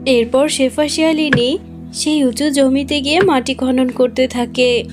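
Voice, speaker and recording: High-voiced woman narrating a cartoon story. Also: fairly even intonation, unhurried, over music.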